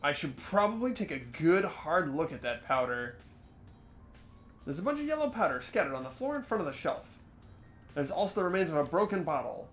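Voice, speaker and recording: An earnest teenage boy from a detective game played by a man. The voice is very high for a male and animated in its rise and fall, thinking each observation through aloud. Faint music runs behind it. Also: fairly quick. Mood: pondering. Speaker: host of the playthrough. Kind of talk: fan-dubbed visual novel game narration.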